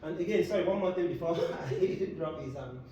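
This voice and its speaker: A man in a relaxed interview, with frequent "okay"s and fillers. He sounds far from the mic in a very echoey room, and steady background noise sits under his voice. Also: a little high, a little fast, dull audio. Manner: repeats "okay" and "yeah", many fillers. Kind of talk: casual interview.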